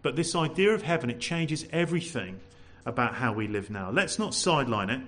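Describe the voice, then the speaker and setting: A man preaching a sermon to a congregation, animated and full of rhetorical questions. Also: medium pitch, a little fast. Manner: says he has a loud voice.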